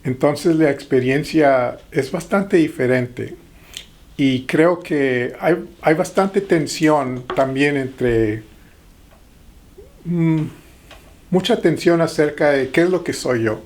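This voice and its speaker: Slow, hesitant middle-aged man. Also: fairly high pitch, a little mellow. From Texas-Mexico border. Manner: hesitant, many pauses and fillers. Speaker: guest. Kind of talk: interview conversation.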